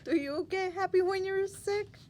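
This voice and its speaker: A woman's voice: very high-pitched, normal speed, a little mellow. A woman reading a picture book aloud to young children, expressively, with asides and questions to the listener.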